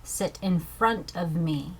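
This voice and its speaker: A young woman from the States, teaching language phrases slowly and repeating each one before translating it. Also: lowish voice, husky, low-quality recording. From southern United States. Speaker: language learner teaching vocabulary. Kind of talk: slow, careful language lesson with repeated phrases.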